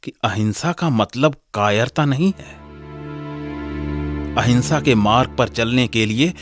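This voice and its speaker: A man's voice: mid-range voice, slow, close to an everyday voice. A man playing a thoughtful, questioning son in a radio drama, earnest and reflective as he speaks with his father. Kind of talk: radio drama acting in Hindi. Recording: music under the voice.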